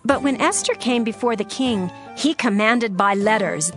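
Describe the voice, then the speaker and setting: A woman narrating scripture in a steady, formal reading style. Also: on the high side, normal speed, faint music behind.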